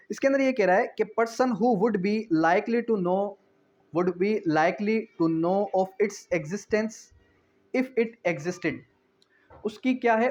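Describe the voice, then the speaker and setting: A man's voice: on the high side, a little mellow. A man with a Pakistani accent lecturing on law in a didactic way, posing questions and answering them, mixing English terms into his explanations. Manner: didactic, poses questions then answers them, repeats key phrases; mixes English legal terms into speech. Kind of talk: explanatory lecture with everyday examples. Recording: dull, muffled lo-fi sound.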